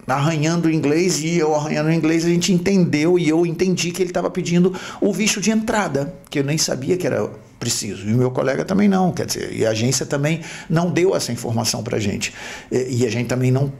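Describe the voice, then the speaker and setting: Gravelly Brazilian man telling his story. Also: a little high, a little mellow. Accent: Brazilian Portuguese, from Rio. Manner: reflective, halting with repeated restarts. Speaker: podcast host. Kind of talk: personal storytelling.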